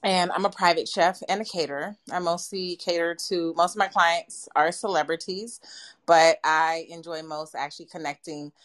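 A woman's voice: lowish voice, steady tone, moderate pace, a bit bright. A woman from California, a private chef, introducing herself on a panel in a casual, chatty way. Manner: casual, with restarts and chatty fillers.

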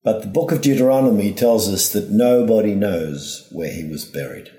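A man speaking as a priest, delivering a formal, measured homily in deliberate phrases. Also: on the low side, expressive, mellow. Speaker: priest giving a reflection. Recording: roomy, echoing sound.

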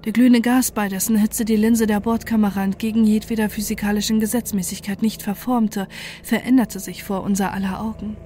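A woman's voice: medium pitch, fairly even intonation, fairly quick, warm tone, a little hiss or hum, natural voice. A woman playing a defiant, desperate scientist who narrates a horror story in an intense, dramatic way. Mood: grave narration.

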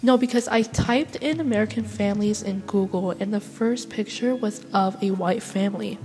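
A woman's voice: medium pitch, normal speed, darkish tone, big-room sound. Congested, outspoken young woman. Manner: outspoken and opinionated. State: congested from illness. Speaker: education student. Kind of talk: solo class podcast reflection.

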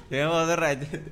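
Podcast co-host, Mexican accent, lively intonation, joking, slangy casual chat. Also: mid-range voice, heavy background noise.

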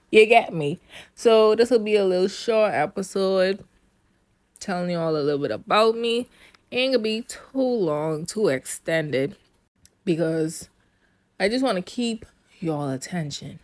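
A woman's voice: medium pitch, lively intonation, a little slow, long pauses, no room sound. A young woman hosting her own podcast alone, chatting casually and laughing as she talks straight to her listeners. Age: young adult. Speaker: podcast host. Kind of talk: casual solo podcast chat. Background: rain.

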